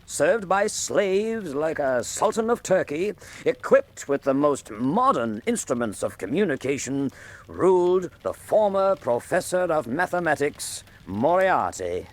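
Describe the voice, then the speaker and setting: Slow, dramatic mystery narrator. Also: male voice, fairly high pitch, big pitch swings. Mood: grave, dramatic. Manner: measured, theatrical, deliberate. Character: male narrator recounting a Sherlock Holmes mystery.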